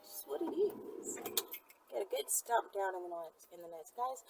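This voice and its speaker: A woman's voice: medium pitch, very animated, moderate pace, creaky, music in the background, rough recording. A woman talking casually and candidly to her viewers as a vlog host, with blunt, slangy, profane phrasing. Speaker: channel host answering viewers' questions.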